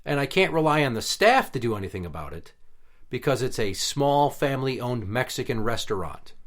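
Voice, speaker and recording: A man's voice: mid-range voice, sing-song, normal speed, fairly bright tone. A man hosting a podcast in casual chat, talking bluntly and heatedly with plenty of swearing. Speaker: podcast host.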